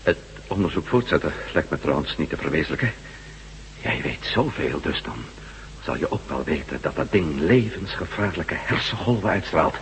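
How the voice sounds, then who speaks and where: An actor playing an inquisitive, self-assured reporter in a radio drama, brisk and questioning with a sardonic edge. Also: male voice, a bass voice, sing-song, gravelly, slight background noise, full character voice, low-quality recording. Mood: wary, questioning. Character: inquisitive male ex-astronaut reporter, self-assured, bold, wry. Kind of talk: Dutch radio drama acting.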